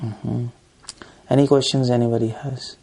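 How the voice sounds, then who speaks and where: Gravelly, mellow Indian man preaching. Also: medium pitch, level tone, normal speed, slight background noise. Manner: emphatic, repetitive phrasing in short bursts. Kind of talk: scripture lecture with recited Sanskrit verses.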